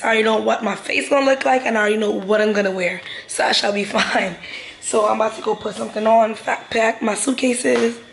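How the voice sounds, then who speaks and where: A young woman, vlogging casually to camera at home, rambling with slang and fillers. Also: medium pitch. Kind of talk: casual vlog chat to camera. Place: home while traveling. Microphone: phone or camera mic with ring light. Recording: noisy ambient background.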